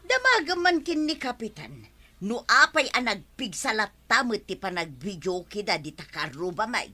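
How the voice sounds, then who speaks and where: A woman's voice: medium pitch, big pitch swings, normal speed, sharp tone, no echo, faint music behind. A woman with an Ilocano accent playing a gossipy, nagging villager in a radio comedy drama.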